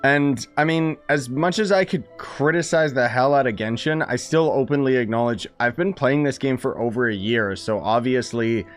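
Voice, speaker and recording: A man's voice: medium pitch, normal speed, hardly any pauses. Sardonic man livestreaming over faint game music. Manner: sardonic, grumbling.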